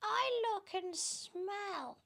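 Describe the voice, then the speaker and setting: A woman's voice: very high voice, lively intonation, drawn-out words, creaky, sharp tone, voice pushed up, heavily acted. A woman voicing a vain, fat old aunt from a children's storybook, declaiming in a theatrical, self-adoring way.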